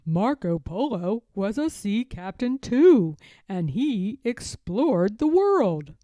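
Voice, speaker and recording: A kindly old grandfather bear, once a sea captain, played by a woman reading a storybook. He talks very slowly and with emphasis, reassuring and drawn out, in a lively rise and fall. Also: mid-range voice, no echo. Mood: proud, fond.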